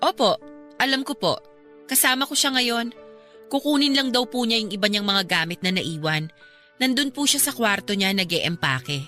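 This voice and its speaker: Actress as bright-voiced, polite Filipino young woman. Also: on the high side, lively intonation, moderate pace, soft background music. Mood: polite, hesitant. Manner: polite, deferential yet pointed. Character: polite but persistent young woman, a loyal friend. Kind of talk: Filipino radio drama acting.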